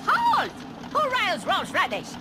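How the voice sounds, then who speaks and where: Cartoon game casting: pompous foreign farm lad, high voice, grand swooping declarations, music behind. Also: male voice, wildly swooping pitch, measured pace, exaggerated voice. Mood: indignant commanding. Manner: pompous, declamatory, oddly phrased. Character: proud, bombastic foreign farm boy. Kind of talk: cartoon video game acting.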